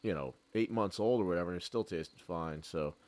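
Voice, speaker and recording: A man's voice: low-pitched, fairly quick, a little mellow. A man chatting casually as a podcast co-host, agreeable, often hesitating and repeating himself. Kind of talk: casual chat.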